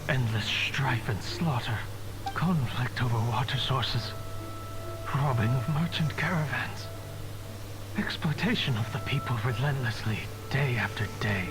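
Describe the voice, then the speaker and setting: A man plays a ghostly remnant of a despairing scholar in a fantasy game. His delivery is grave, formal and measured, with a fatherly weariness, while music plays clearly behind him. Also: medium pitch, lightly acted, low-quality recording. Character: weary, despairing scholar's lingering mind fragment, grandfatherly, solemn. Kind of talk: video game dramatic monologue.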